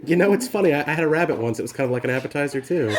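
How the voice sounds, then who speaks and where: A man's voice: fairly high pitch, animated, racing. A man bantering playfully with puppets, joking and putting on a playful stammer. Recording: distant, very noisy home recording.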